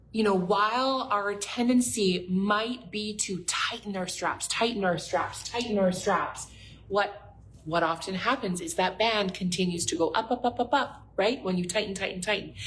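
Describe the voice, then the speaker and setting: Young woman, co-host, casual tips, very slow delivery, faint hiss. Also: mid-range voice, low-quality recording. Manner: slow, repeats phrases, encouraging. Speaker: co-host at a bra shop. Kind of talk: casual advice chat.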